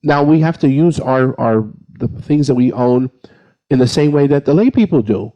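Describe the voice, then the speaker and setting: A man's voice: mid-range voice, expressive, normal speed, highs cut off. A man speaking as a priest on a panel, giving an informal, plain-spoken explanation point by point. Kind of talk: informal explanatory answer to a question.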